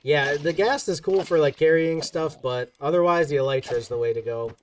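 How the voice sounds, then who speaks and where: A man's voice: on the high side, brisk, recorded from a distance, slight background noise. A man from Ohio hosting a casual gaming stream, teasing his friends and reading chat aloud. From Ohio, USA. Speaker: streamer host.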